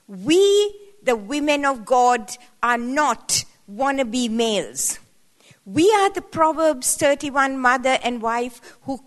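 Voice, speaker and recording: Woman, 70s, guest speaker at a women's church event; higher voice, witty, conversational, hall reverb. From Sri Lanka. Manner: playful, conversational, rising emphatic asides.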